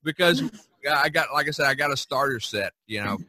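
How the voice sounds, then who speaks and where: A man with a mid-pitched, animated voice hosts a relaxed podcast. He speaks haltingly, often doubling back on words, and his intonation moves up and down a lot. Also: dry recording. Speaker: podcast host. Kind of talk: casual chat.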